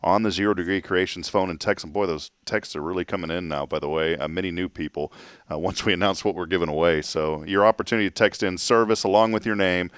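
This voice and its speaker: A man's voice: deep voice, steady tone, fast talker, a bit bright, smooth. A man hosting a morning radio show in casual, jokey, upbeat banter.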